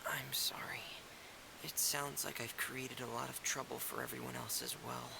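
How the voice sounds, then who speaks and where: A man voicing a shy, reserved teenage boy inventor, soft, breathy and hesitant, in an anime fantasy game. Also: mid-range voice, level tone, normal speed. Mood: apologetic. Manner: soft-spoken, hesitant, apologetic. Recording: clear background noise and hiss.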